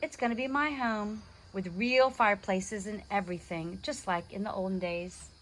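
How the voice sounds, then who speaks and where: A woman voicing an excitable, big-dreaming young boy in a storybook, eager and emphatic. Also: medium pitch, normal speed, slight background noise, lightly acted, poor audio. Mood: excited, determined.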